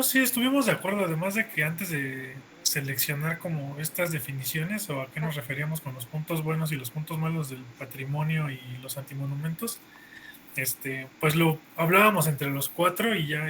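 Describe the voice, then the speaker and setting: Bright-voiced young man, student. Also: on the high side, normal speed, slight background noise. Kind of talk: casual spoken answer in an online class.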